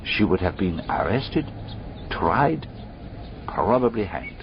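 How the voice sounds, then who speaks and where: An actor playing a shrewd, methodical foreign detective in a radio drama, deliberate and courteous, musing aloud in rhetorical questions. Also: male voice, on the low side, sing-song, exaggerated voice. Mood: pondering, explaining. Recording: dull radio recording, clearly noisy background.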